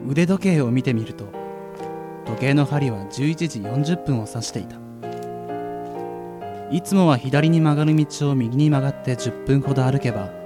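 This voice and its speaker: A man playing a curious young part-timer, narrating his night in a measured, introspective radio drama style. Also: medium pitch, over music, close to an everyday voice. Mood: reflective.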